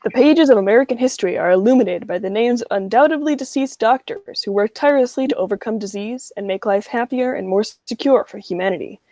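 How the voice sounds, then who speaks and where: A woman's voice: fairly high pitch, fast talker, few pauses, mellow. A woman announcer reading a scripted parody of an old radio advertisement.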